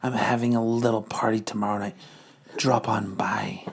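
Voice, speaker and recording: A seductive villainess boss from a comic book reading, acted out by a woman. She keeps her voice low and hushed, drawing out her words slowly and flirtatiously, heavy with suggestion. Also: fairly even intonation, creaky, slightly rough, full character voice. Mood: sultry, flirtatious. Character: Madam Hydra, breathy seductive female villain boss.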